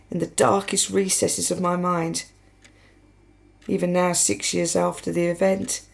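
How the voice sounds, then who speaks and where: An actress in a radio play voicing an embittered, grieving widow who speaks with accusing, pointed questions. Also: female voice, fairly low pitch, gravelly, a little mellow, slightly rough, heavily acted. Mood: haunted, brooding. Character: embittered grieving widow confronting her husband's killer.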